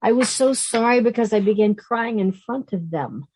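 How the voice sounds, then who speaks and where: A woman acting a grieving, emotional woman in her late fifties in a play reading, speaking in a halting, heartfelt way. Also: on the high side, darkish tone, breathy edge, poor audio. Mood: tearful, confiding. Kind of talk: play reading, naturalistic dialogue.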